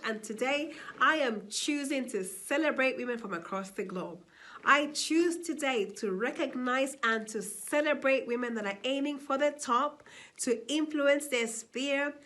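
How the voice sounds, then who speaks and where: High-voiced Zambian-raised woman, rousing. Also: a bit bright. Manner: emphatic, rhetorical questions answered herself. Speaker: summit speaker. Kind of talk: motivational address.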